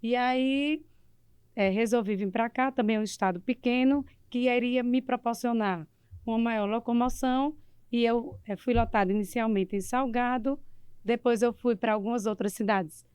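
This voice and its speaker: A woman's voice: fairly high pitch, steady tone, normal speed, darkish tone, smooth, no room sound. A woman with a northeastern Brazilian accent from Alagoas, recounting her background conversationally and matter-of-factly as a guest. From Maceió, Brazil. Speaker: police chief, interview guest. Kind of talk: conversational personal account.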